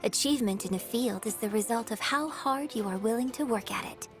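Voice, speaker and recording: An actress playing a refined, gracious young opera star and troupe director in a video game, speaking in a formal, theatrical manner. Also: female voice, a little high, a little fast, soft background music, close to an everyday voice. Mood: warm, gracious. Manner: genteel, theatrical, formal wording. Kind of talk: video game character voice lines.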